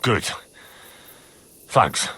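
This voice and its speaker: An actor playing a stubborn, righteous young hero in a video game, arguing insistently. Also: male voice, extremely slow, pushed and bright, no echo. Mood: resolved. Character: earnest, indignant, stubborn young male hero. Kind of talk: video game cutscene acting.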